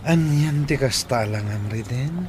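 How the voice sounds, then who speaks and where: A man's voice: medium pitch, a little mellow, slight background noise. A man playing a boastful, arrogant rich company boss in an Ilocano audio drama, haughty and taunting.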